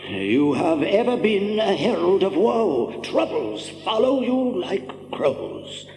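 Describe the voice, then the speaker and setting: An aged king in an audio drama, weary, querulous and suspicious. His voice is fairly high and wavers widely in pitch as he halts and mumbles. It echoes in a great hall. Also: very animated, a little mellow, slight background noise, exaggerated voice. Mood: querulous, unwelcoming. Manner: halting, mumbling hesitations. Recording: reverberant hall, faint music behind.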